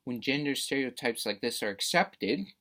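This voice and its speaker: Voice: medium pitch, lively intonation, moderate pace, a bit bright. A debate speaker delivering a prepared persuasive speech in a formal, emphatic, rhetorical manner.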